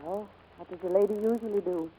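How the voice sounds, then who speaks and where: A woman's voice: medium pitch, fairly quick, mellow, husky, natural voice, poor audio. An actress playing an earnest, romantic but guarded young woman pilot in 1940s radio drama style. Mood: tender, romantic. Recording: old 1940s radio broadcast, music behind.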